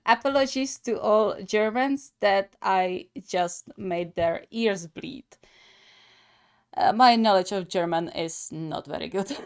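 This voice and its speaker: A woman hosts a gaming reaction channel with casual, chatty commentary. She takes her time, speaking slowly at a middle pitch. Her manner is giggly and she often stops to qualify what she says. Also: clean tone.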